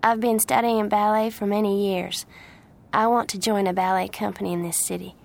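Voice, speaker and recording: A woman voicing a gentle, friendly young woman who is patient with children, in an audiobook story. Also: mid-range voice, level tone, normal speed, vocal fry, lightly acted. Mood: earnest, hopeful. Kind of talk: audiobook character voice.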